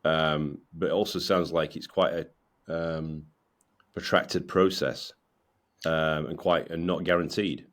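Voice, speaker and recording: A man's voice: extremely low pitch, fairly even intonation, slow. A man hosting a podcast interview, from the UK, who repeats his words and restarts his questions often. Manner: stammering repeated starts. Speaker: podcast host.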